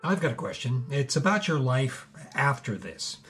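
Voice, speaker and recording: A man's voice: mid-range voice, sing-song, normal speed, music in the background, lightly acted. An actor playing a curious man who puts questions in a conversational interview style within a drama. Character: curious man questioning an old woman about her life.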